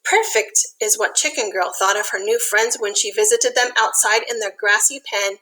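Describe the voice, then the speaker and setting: A woman reading a picture book aloud to young children, expressive, with simple explanatory asides. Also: medium pitch, moderate pace, slight background noise, rough recording.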